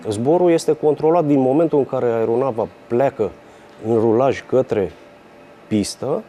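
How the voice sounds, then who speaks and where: Romanian male controller, soft dark timbre, explaining for a documentary, light music underneath. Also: medium pitch. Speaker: air traffic controller. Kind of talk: explanatory interview. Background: faint music.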